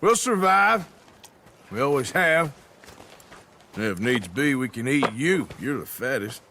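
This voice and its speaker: Gruff American cowboy outlaw, sarcastic, swinging intonation, game cutscene, noisy outdoor ambience. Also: male voice, mid-range voice, sing-song, takes it slowly. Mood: dry scorn. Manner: dry, sarcastic. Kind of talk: video game cutscene acting. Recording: clear background noise of outdoor ambience.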